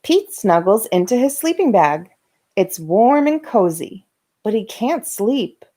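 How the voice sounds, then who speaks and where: A woman reads a children's bedtime story aloud. Her pace is slow and careful, with lively swings in pitch and gentle dramatic emphasis on key words. Her pitch sits in a middle female range. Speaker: host of a bedtime story read-aloud channel. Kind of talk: expressive children's storybook narration.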